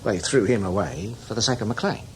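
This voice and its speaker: An actor playing a seasoned, cynical older British spy in a radio drama, talking in a wry, knowing, emphatic way. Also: male voice, lowish voice, animated, fairly quick, a little hiss or hum, close to an everyday voice. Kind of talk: radio drama acting, conversational.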